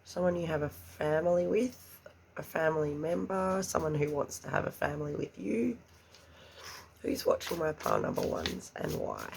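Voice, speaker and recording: A woman's voice: sits low, big pitch swings, normal speed, creaky, a little mellow, a little hiss or hum. A woman giving a pick-a-card tarot reading, chatty and a little congested, murmuring questions to spirit under her breath. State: congested after an illness. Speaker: tarot reader.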